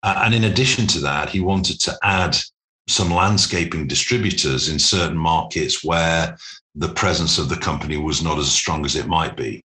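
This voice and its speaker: A man speaking as an executive guest in a business interview, explaining things in measured, articulate corporate phrasing. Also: a bass voice, sharp tone.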